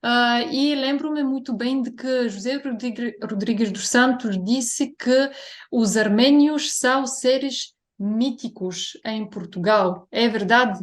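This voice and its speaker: A woman's voice: a little high, takes it slowly. A young woman from Bulgaria, a non-native speaker, introducing a guest and asking questions in a careful, formal way. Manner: careful, formal, read-out phrasing. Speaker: interviewer. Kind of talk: prepared interview introduction.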